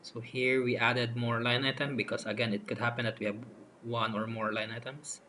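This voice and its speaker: Male software demonstrator, unscripted and halting, walking through screen steps, some noise behind the voice. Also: medium pitch. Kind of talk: step-by-step screen tutorial narration. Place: voice-over for a screen recording. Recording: noticeable steady background noise.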